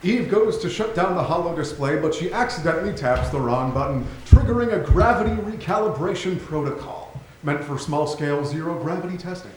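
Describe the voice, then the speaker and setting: Male narrator for a stage reading: lightly high voice, scripted delivery, echoey room. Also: animated, fairly quick, hardly any pauses. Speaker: stage directions reader. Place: theatre stage. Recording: roomy theatre recording with faint noise.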